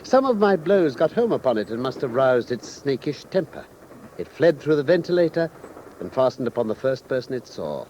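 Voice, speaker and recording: An actor playing a shrewd, commanding gentleman detective in a British radio drama, speaking in a precise, articulate, authoritative manner with a British accent. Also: mid-range voice, very animated, normal speed, lo-fi sound, close to an everyday voice. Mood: calm, explanatory. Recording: noisy old radio drama recording.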